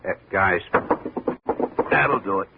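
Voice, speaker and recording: Low, surly radio-drama hoodlum. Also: male voice, animated, takes it slowly, exaggerated voice. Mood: menacing. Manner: terse, gruff, defiant. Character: sullen, surly male hired hoodlum. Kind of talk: 1950 radio drama acting. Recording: noisy, tinny old radio recording.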